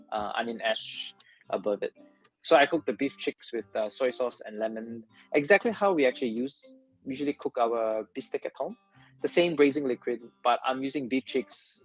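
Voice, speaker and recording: A man's voice: medium pitch, rough recording. A man who works as a chef, casually explaining a dish he cooked, step by step, in a video.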